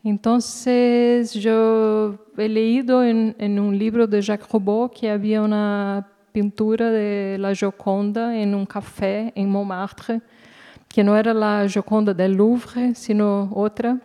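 A woman, a native Portuguese speaker, talking unscripted as a guest author, haltingly searching for words. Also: mid-range voice, flat delivery, mellow, smooth. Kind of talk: unscripted talk at a literary event. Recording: echoey hall reverb.

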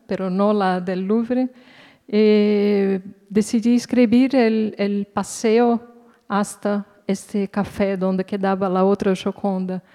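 A woman, a native Portuguese speaker, talking unscripted as a guest author, haltingly searching for words. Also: medium pitch, dark tone. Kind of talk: unscripted talk at a literary event. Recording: echoey hall reverb.

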